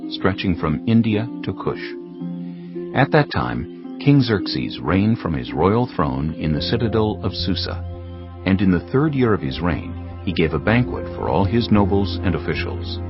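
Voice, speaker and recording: A male narrator with a very low voice reads a dramatized audiobook in a stately storytelling style. His intonation is lively and varied, and clear music plays behind him. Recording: slightly dull audio over music. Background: prominent background music.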